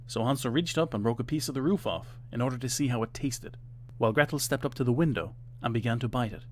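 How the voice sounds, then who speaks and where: A man narrates a fairytale from a script, as a storyteller. His pitch is mid-range, and there is faint noise in the recording. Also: quick pace.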